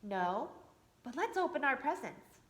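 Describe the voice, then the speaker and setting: Brief: woman reading as a calm, curious wooden villager, unhurried storybook voice. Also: high-pitched, echoey room.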